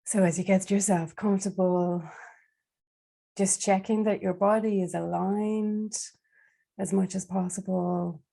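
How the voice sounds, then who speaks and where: Woman doing guided meditation, really slow n gentle, low mellow voice w lots of gravelly fry. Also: fairly even intonation. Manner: soft, soothing, unhurried with long pauses.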